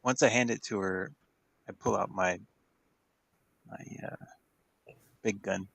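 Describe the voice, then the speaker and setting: A man's voice: low-pitched, fairly even intonation, slow pace, gravelly, a bit bright, breathy edge. A man playing a tabletop role-playing game, talking casually as he describes what his character does.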